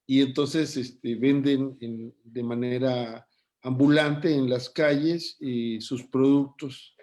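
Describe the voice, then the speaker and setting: A man who hosts and moderates an online lecture, speaking in a measured, deliberate way. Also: mid-range voice, steady tone, a little mellow. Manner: measured, deliberate, pauses with fillers. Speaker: host and moderator.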